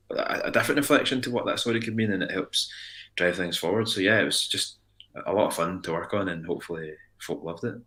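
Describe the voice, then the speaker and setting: A young Scottish man with a very low, deep voice chats quickly and animatedly as a musician guest. He rambles and restarts his sentences often. His speech runs fast. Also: a bit bright. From Scotland. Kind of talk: casual interview chat.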